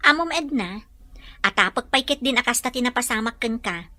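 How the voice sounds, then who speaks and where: A woman playing a caring mother who gently advises her grown daughter in a radio drama. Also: on the high side, animated, brisk, no echo. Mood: concerned, advising. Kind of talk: Ilocano radio drama acting.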